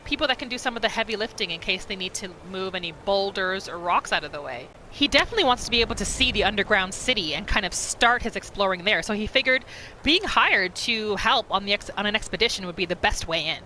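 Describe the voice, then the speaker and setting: A woman with a bright, forceful voice at a middle pitch, narrating a roleplay game as a casual story. Faint ambience sits behind her. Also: animated, quick pace, slight background noise, poor audio. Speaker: gamer narrating a roleplay series.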